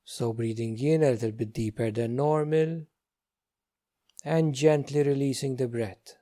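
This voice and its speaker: Mellow-voiced man guiding a meditation. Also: medium pitch. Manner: gentle, unhurried instruction with many pauses. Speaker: meditation teacher. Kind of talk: guided meditation.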